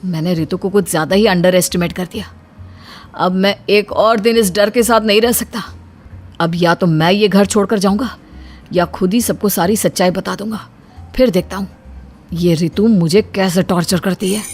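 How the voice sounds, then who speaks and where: A woman's voice: mid-range voice, normal speed, darkish tone, natural voice. Nervous, guilt-ridden young man, voiced by a woman. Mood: worried, resolved. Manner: anxious, earnest, pleading. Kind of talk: dramatized story dialogue. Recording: music bed under the voice.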